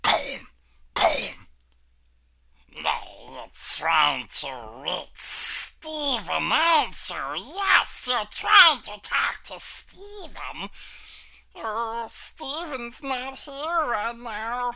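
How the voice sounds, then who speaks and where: A man voicing a small, wretched, sneering creature with a raspy, strangled voice. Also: very high-pitched, big pitch swings, very slow, bright, forceful tone, pitched above the performer's own voice, full character voice. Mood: gleeful, spiteful.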